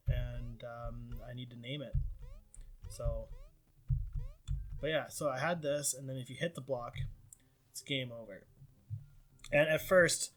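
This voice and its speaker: A man's voice: medium pitch, fairly bright tone. A man from Canada, an indie game developer talking through his game in a casual, rambling devlog with lots of fillers. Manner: rambling, self-deprecating, frequent fillers.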